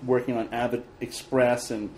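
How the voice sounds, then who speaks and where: A man's voice: medium pitch, level tone, normal speed, darkish tone, slight background noise. A man hosting a casual podcast chat, joking with his guest and laughing often. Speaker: podcast host, TV director.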